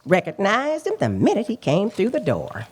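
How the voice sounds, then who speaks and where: A woman's voice: medium pitch, wildly swooping pitch, moderate pace, a little mellow. A woman playing a feisty, talkative and opinionated witness in a radio police drama, speaking in emphatic, clipped sentences. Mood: surprised, knowing. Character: feisty, talkative female check-forgery witness, indignant and opinionated. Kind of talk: live radio play acting, police drama.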